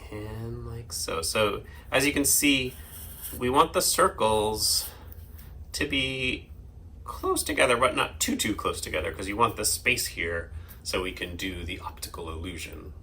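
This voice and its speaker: A man's voice: fairly low pitch, unhurried, sharp tone, slight background noise. A man hosting a children's drawing lesson, casually talking through each step in an informal, playful way with simple explanations. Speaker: host of a children's drawing segment.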